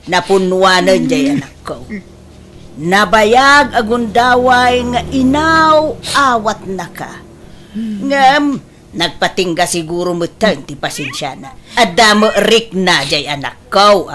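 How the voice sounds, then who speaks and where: A woman's voice: medium pitch, lively intonation, takes it slowly, fairly bright tone, slight background noise. An actress with an Ilocano Filipino accent playing a stern, exasperated mother-in-law admonishing someone in a radio drama.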